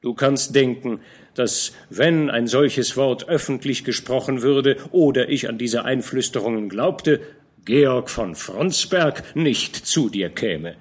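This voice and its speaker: A man's voice: medium pitch, slow, slightly rough. Man reading as a shrewd fatherly old captain. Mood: probing. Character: older male field captain, shrewd, fatherly.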